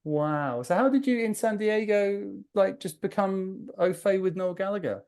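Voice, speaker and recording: Guy hosting a podcast on a call, mellow tone, rambles a bit, friendly. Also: high-pitched, smooth. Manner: friendly, rambling, quick asides. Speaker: podcast host. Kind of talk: casual chat. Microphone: video call.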